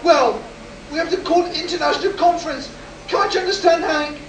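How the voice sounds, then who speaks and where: A performer in a live radio play as a desperate, overwrought male test pilot, urgent and halting. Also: extremely high pitch. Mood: frantic. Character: desperate test pilot man warning of doom. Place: convention hall stage, live performance. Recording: roomy distant live stage recording, noisy.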